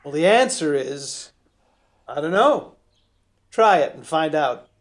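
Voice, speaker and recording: Small town fella for radio drama, high voice, stubborn, plain talking. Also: male voice, animated, slow pace. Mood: easygoing, frank.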